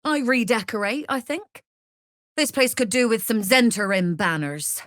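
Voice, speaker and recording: A woman's voice: a little high, animated, unhurried, a bit bright, no echo, studio quality. Scheming mercenary woman, swooping delivery. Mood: smug, persuasive. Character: scheming, smooth-talking female mercenary leader plotting a takeover. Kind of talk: video game character acting.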